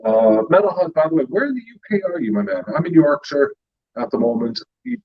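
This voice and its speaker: Middle-aged American host, husky voice, muffled stream audio, rambling chat. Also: male voice, fairly high pitch, very animated, brisk. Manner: rambling, with many fillers and laughs. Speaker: YouTube channel host; retired paramedic. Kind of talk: casual live-stream chat.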